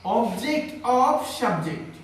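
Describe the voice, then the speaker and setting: A man teaching an online grammar lesson in a halting, instructional way, explaining step by step to his students. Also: very high-pitched, sing-song. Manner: halting, instructional, with repeated check questions. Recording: very echoey room, distant mic.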